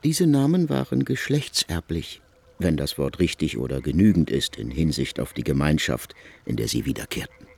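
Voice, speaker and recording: A man playing an erudite narrator in a literary radio drama, speaking eloquently with deliberate phrasing. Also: very deep voice, sing-song, vocal fry, darkish tone, breathy edge, exaggerated voice. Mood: erudite, expressive. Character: erudite male narrator of a biblical epic.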